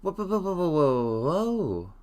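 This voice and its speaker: A man's voice: on the high side, big pitch swings, a little slow. A man chatting on a live stream in a playful, theatrical manner, addressing his listeners as mortals. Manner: hums softly to himself between phrases. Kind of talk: casual live-stream chat.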